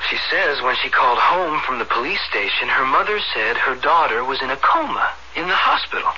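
An actor playing a kindly, easygoing young American medical student in a 1970s radio drama, informal and coaxing. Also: male voice, mid-range voice, very animated, a little fast, highs cut off, a little hiss or hum. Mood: earnest, persuasive. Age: young adult. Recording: band-limited 1970s radio recording, loud music behind.

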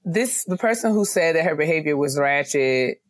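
A woman's voice: sits low, expressive, moderate pace, no room sound. A woman co-hosting a casual podcast chat, who speaks hesitantly and weighs her words. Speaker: podcast co-host.

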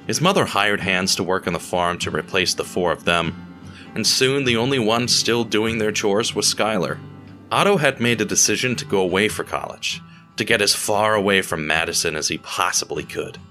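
A man reading scripted dramatic story narration in a measured, deliberate storytelling manner. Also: low voice, bright, forceful tone.

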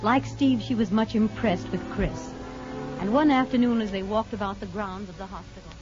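A woman's voice: mid-range voice, normal speed. A woman narrating a 1950s radio drama in a scripted storytelling style. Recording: muffled old radio broadcast, music behind.

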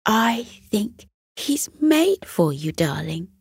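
A woman voicing an adoring, excited mother in a children's story, gushing with drawn-out wonder. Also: mid-range voice, vocal fry, slightly rough, no room sound, clean studio sound, voice pushed up, full character voice. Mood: delighted.

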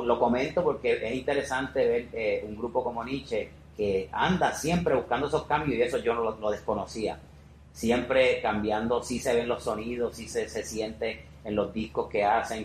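A man hosting a podcast interview in a casual, rambling way, often repeating phrases for emphasis. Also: on the low side. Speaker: podcast host. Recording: roomy echo with faint background noise.

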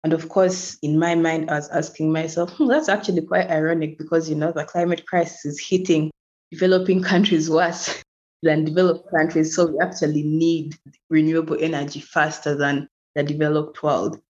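A woman's voice: low voice, steady tone, moderate pace, a little mellow. Ugandan woman on a dull remote line. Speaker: guest, climate activist. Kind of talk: casual interview chat.

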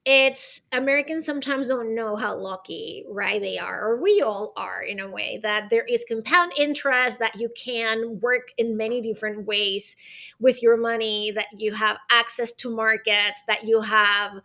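A woman from Venezuela, a guest on a podcast, talking in a lively, chatty way with many fillers like "right?". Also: on the high side, normal speed, gravelly. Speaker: guest, arts consultant and author. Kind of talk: podcast interview.

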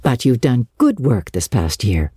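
A kindly woman schoolteacher, voiced by a woman reader, speaks with measured encouragement in a low, mellow voice. It has the feel of a classic storybook read with expression. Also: huge pitch swings, slightly rough, no echo, clean studio sound, heavily acted. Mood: encouraging. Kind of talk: dramatic literary reading.

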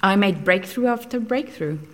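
A woman's voice: medium pitch, animated, normal speed, lightly acted. An actress playing a frank, socially outcast woman scientist in an audio drama, speaking in a blunt, matter-of-fact way.

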